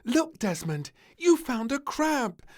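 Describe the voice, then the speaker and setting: A man voicing an excitable little girl princess in a storybook reading, squealing and eager. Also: very high-pitched, slow, pitched above the performer's own voice, heavily acted. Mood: delighted.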